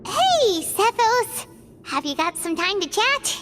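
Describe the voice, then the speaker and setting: A woman voicing a small, nosy, childlike fairy companion in a video game, with a squeaky voice and a blunt, questioning manner. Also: very animated, slow, fairly bright tone, in a large hall, faint music behind, full character voice. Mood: eager. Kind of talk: video game character acting.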